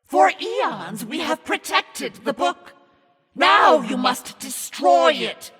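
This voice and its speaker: A man voicing a menacing, scornful demonic narrator in a video game, commanding and mocking in a theatrical, raspy delivery. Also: very high voice, big pitch swings, slow pace, heavily acted. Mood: urgent, dire. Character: demonic evil narrator, menacing, scornful, imperious servant of darkness. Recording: long reverb over music.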